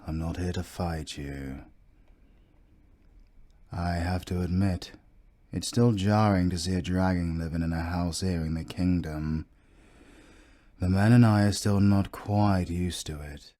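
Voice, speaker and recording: A proud British captain , acted by a man, from a fantasy audio drama. His voice sits low and mellow. He speaks formally and with measured care, stiff but courteous. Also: fairly even intonation. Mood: guarded, frank. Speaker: voice actor. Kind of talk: romantic fantasy audio roleplay acting.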